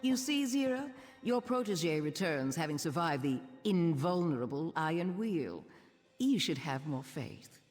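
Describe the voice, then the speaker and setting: An actor playing a proud, sardonic exiled noble turned veteran thief in a video game, speaking in a dry, formal, haughty manner. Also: male voice, fairly high pitch, big pitch swings, measured pace, soft background music. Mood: smug.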